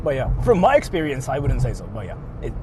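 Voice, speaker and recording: A man's voice: medium pitch, wildly swooping pitch, fast. A man in his thirties from Japan vlogging outdoors on a city street at night, talking casually and rambling with lots of "like" fillers and the odd swear word. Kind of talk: casual street vlog talk. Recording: noisy outdoor street recording. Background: traffic and street noise.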